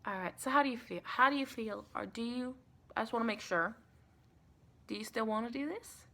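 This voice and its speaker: An American woman playing a sweet, reassuring vampire in a chatty, rambling ASMR roleplay, with a creaky voice. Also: a little high, fairly quick, a bit bright. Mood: pleased, encouraging. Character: sweet, reassuring female vampire welcoming a new recruit.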